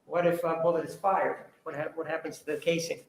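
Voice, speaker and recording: A man prosecuting a case, asking a witness formal questions with occasional pauses and fillers. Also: a little high, level tone, vocal fry, slight background noise. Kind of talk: courtroom questioning. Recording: roomy courtroom audio.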